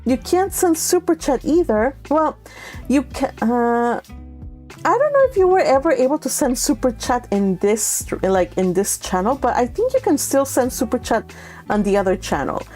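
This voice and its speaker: A woman's voice: high voice, very animated, normal speed, darkish tone, faint music behind. A woman hosting a live read-along, chatting casually and affectionately with her viewers, giggly and informal. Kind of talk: casual livestream chat with viewers.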